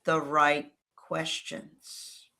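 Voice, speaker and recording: A woman with a New England accent giving advice and telling stories in conversation as an interview guest, with measured, emphatic phrasing. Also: sits low, monotone, creaky, sharp tone. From New England, United States. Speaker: interview guest, relationship coach.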